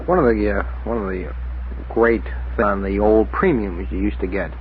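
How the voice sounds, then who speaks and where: A man's voice: on the low side, normal speed, slight background noise. Inquisitive man on tinny old radio. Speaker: radio panelist questioning the guest. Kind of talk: casual radio panel chat. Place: radio studio.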